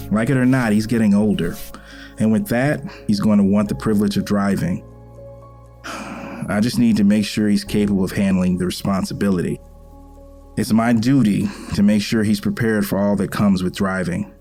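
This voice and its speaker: A man playing a caring, gently wry middle-aged father, folksy and earnest, in an audio drama. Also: fairly low pitch, fairly even intonation, normal speed, faint music behind, close to an everyday voice. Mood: earnest, worried. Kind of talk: audio drama acting, conversational.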